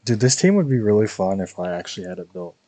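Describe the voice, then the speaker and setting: A young man with a husky voice giving casual, slangy live commentary on a game, musing to himself. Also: lowish voice, big pitch swings, fast, dark tone. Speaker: game streamer.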